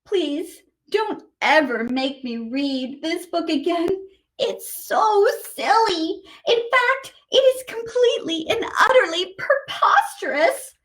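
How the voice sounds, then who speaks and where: Very high-pitched, playful woman hosting kids' story time. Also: lively intonation, slow, a bit bright, poor audio. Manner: playful, exaggerated, sing-song delivery with gasps; addresses young children directly with questions. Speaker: children's story time host. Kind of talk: live read-aloud and sing-along for kids.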